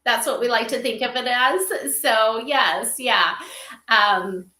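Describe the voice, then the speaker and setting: A woman explaining things casually as a guest in a livestream interview, animated and given to acting out example dialogue. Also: fairly high pitch, takes it slowly. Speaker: interview guest, company advisor on AI memorial companions. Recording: roomy, echoing sound.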